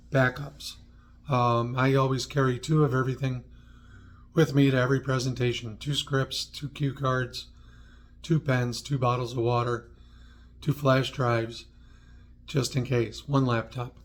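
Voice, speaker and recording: A man's voice: medium pitch, little pitch movement, a little slow, pauses often, a little hiss or hum. A man from New York with an American accent, presenting an instructional talk in a conversational way, with short clipped phrases and dry asides. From New York, United States. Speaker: presenter of a how-to video series on public speaking.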